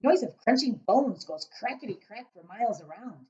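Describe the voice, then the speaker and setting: Storybook giant, played by a woman: huge, boastful male, loud and booming, raised lively pitch, muffled recording. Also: moderate pace, close to an everyday voice. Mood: gleeful, boastful. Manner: emphatic, shouting, mangled grammar and invented words. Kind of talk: children's storybook read-aloud.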